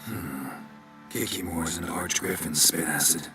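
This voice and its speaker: A gruff, world-weary mercenary monster hunter from a video game. His voice is very low and deadpan, in short dry lines, with music underneath. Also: a little slow. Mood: resigned. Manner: deadpan, terse. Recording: game dialogue with music behind.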